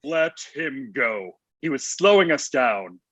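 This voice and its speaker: A man's voice: medium pitch, sing-song, takes it slowly, fairly bright tone, dull audio, exaggerated voice. A man playing Santa Claus as an insecure, petulant, needy husband in a comedy table read, hesitant and easily wounded.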